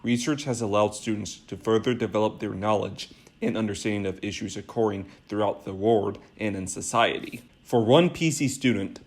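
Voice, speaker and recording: Young man narrating news, creaky voice. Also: on the low side, moderate pace, few pauses. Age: young adult. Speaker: student reporter and narrator. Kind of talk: scripted news segment narration.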